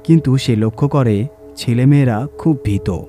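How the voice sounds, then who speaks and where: A man narrates a village horror tale with dramatic, scripted delivery. His voice is mid-pitched and mellow, with faint music playing underneath. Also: normal speed. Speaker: story reader and narrator.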